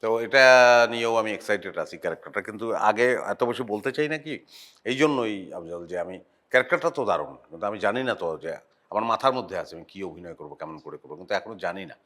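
A middle-aged man, an actor guesting on a talk show, talking in a reflective, halting way, often pausing on "ah" and letting his phrases trail off softly. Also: fairly low pitch, animated. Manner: reflective, halting with frequent "ah" pauses. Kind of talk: casual interview chat.

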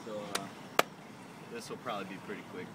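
A man's voice: lowish voice, a little fast. A young man hosting his own fishing video on a waterside dock, talking casually and cheering his buddy on. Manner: laid-back, turning into loud excited cheering when a fish bites. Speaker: fishing guide and show host. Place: outdoors on a boat dock by the water. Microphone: camera some distance away. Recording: distant camera mic with loud boat and water noise.

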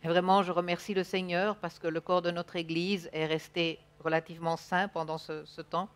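A woman's voice: on the low side, level tone, normal speed. A woman in her thirties interpreting a sermon live, feeling for her words at times. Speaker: church interpreter. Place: church stage, indoors.

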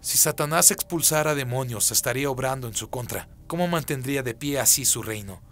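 A man's voice: mid-range voice, a little fast, a bit bright, natural voice. A man voicing a firm, authoritative prophet, preaching solemnly and emphatically in a radio drama. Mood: solemn teaching. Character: Jesus, firm authoritative male prophet and teacher.

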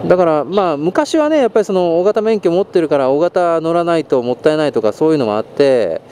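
Loud road and engine noise surrounds a man with a fairly high, very lively voice. He rambles on casually through a helmet intercom as he rides. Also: quick pace. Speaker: motovlogger. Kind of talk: casual chat over helmet intercom while riding. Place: outdoors on a motorbike on a night expressway. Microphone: helmet intercom headset.

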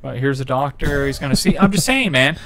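A man's voice: medium pitch, animated, fairly quick, a bit bright. A man in casual podcast chat on a couch, talking loosely and bluntly with casual swearing into a handheld mic. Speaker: podcast co-host. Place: living room couch. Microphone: handheld mic close to mouth.

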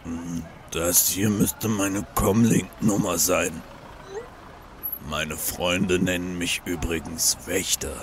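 An actor playing a gruff, suspicious male troll searching for his missing wife in a German fan audio drama. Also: deep voice, fairly even intonation, takes it slowly, slight background noise. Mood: gruff, wary. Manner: brusque, distrustful of humans.

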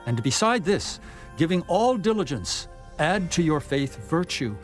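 A man giving a dramatized scripture reading in a solemn, measured manner with emphatic phrasing. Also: on the high side, sing-song. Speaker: Bible narrator. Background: background music.

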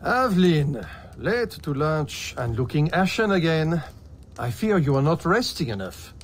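A man's voice: fairly high pitch, sing-song, a little slow, slight background noise. An actor playing a fussy, affectionate old merchant father in a video game, courtly and wordy. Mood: fond fretting. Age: older. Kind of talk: video game cutscene acting. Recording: music behind the voice.

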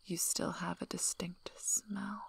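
A tough female werewolf alpha, acted by a woman in an ASMR roleplay, speaking softly in a husky, breathy near-whisper. She is slow and gravelly, dryly teasing and gruffly protective. The tone stays very level. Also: medium pitch, level tone, full character voice. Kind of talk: soft-spoken ASMR roleplay.

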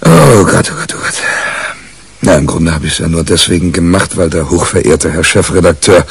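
An actor playing a disgruntled, self-mocking newspaper reporter in a radio crime drama, colloquial and grumbling. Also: male voice, low-pitched, breathy edge, no room sound, full character voice. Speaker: actor narrating as the lead character.

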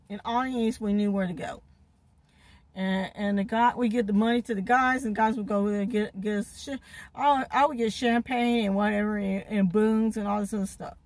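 A woman's voice: fairly high pitch, dry recording. A middle-aged woman telling a rambling, profane story in a parked car, laughing and repeating herself, recorded on a phone at arm's length. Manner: swears heavily, laughs often, repeats herself, gestures a lot. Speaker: vlogger. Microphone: phone camera at arm's length.

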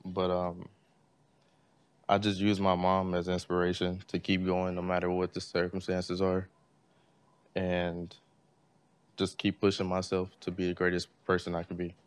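Soft-spoken young man, slow and flat. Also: deep voice, clear, resonant tone, no echo. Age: young adult. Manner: halting, with many fillers. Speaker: student giving a tribute speech. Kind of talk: classroom speech. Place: library classroom.

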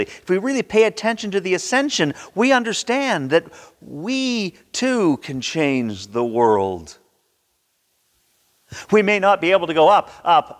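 A man in his sixties preaching a sermon with theatrical, emphatic delivery and dramatic pauses, recorded in a church. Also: a little high. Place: large church sanctuary, indoors at a pulpit. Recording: reverberant church hall.

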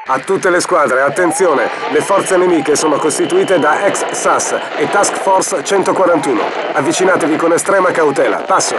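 A man plays a mercenary commander who calls his squads over the radio in short, clipped procedure, his voice sitting a touch high. Steady battlefield noise runs underneath every call. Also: close to an everyday voice. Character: male mercenary radio commander, calm and curt. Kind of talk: video game voice acting.

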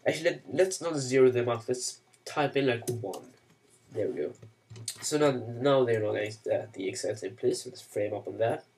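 A man who grew up speaking Swedish, walking through software steps in a loose, self-mocking way with plenty of fillers. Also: medium pitch, normal speed, recorded from a distance. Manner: rambling, self-mocking asides, many "uh" and "you know". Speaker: software tutorial maker. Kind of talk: casual screen-recorded software tutorial.

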